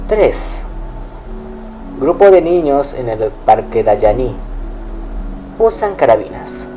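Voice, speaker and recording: A man's voice: medium pitch, very animated, slow, mellow. Man narrating over music. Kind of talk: scripted horror narration.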